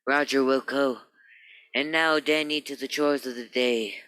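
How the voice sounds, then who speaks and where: A man plays an earnest old-time radio police sergeant. His bright, crisp voice lays out every point with stiff, long-winded formality and comic pomposity. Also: mid-range voice, fairly even intonation, measured pace. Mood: earnest, pompous. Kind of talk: 1950s radio comedy-drama acting.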